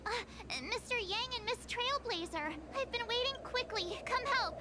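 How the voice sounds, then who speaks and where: A woman's voice: very high voice, expressive, moderate pace, heavy background noise. An actress voicing a bubbly, eager teenage girl companion in a video game cutscene. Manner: chirpy and eager. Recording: game cutscene with loud music.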